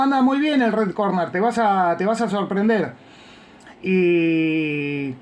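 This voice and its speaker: A man with an Argentine accent on a ham radio contact, chatting informally about his gear, often trailing off and restarting while he adjusts it. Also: sits high. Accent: Argentine Spanish. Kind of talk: ham radio contact, casual technical chat.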